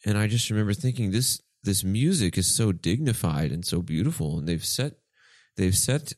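Deep-voiced young man, dry close mic. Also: expressive, normal speed, darkish tone, no echo. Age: 20s. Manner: thoughtful, explanatory, with restarts. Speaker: podcast co-host. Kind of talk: casual podcast chat, storytelling.

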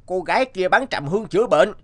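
A man voicing an excitable, gossipy soldier guarding a camp, exclamatory and blustering, in an animated tale. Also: high voice, fast talker. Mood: gossipy, brisk. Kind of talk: Vietnamese animated moral tale voice acting.

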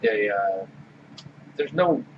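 A man hosting a casual beer-tasting podcast who speaks in a measured way and steers the talk. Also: fairly low pitch, lively intonation, recorded from a distance. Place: small room with orange walls. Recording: dull sound with faint hiss.

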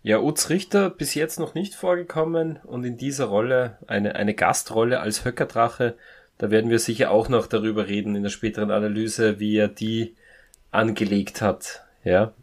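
A man's voice: fairly low pitch, steady tone, moderate pace. A man co-hosting a review podcast in casual conversation. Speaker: podcast co-host.

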